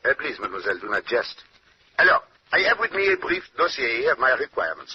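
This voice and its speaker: An actor playing a fussy, courteous Belgian detective with a French-speaking Belgian accent and formal, precise phrasing, in old-time radio drama style. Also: male voice, mid-range voice, very animated, fast. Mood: fussy, indignant. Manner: formal, pedantic, exacting phrasing. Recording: muffled, noisy old radio broadcast.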